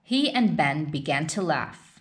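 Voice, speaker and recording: A woman reading a children's story aloud in an expressive, deliberate way. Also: deep voice. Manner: unhurried, deliberate read-aloud delivery. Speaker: storybook reader.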